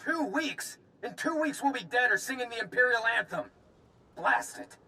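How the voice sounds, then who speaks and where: A man's voice: very high-pitched, sing-song, moderate pace, bright, forceful tone, full character voice. An actor playing a harried, blunt male army officer in a video game. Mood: frustrated, urgent. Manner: urgent, blunt.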